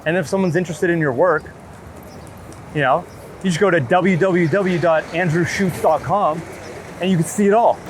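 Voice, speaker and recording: A man talking casually and earnestly as an interviewed photographer, rambling in a halting way. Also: sits high. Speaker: street and wedding photographer being interviewed. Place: outdoors on a city street. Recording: street noise, passing traffic.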